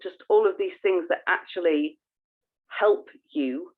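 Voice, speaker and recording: A woman's voice: fairly low pitch, a little slow. Woman podcaster on a thin phone-like line. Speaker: podcast co-host. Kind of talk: casual podcast chat. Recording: thin phone-like call audio.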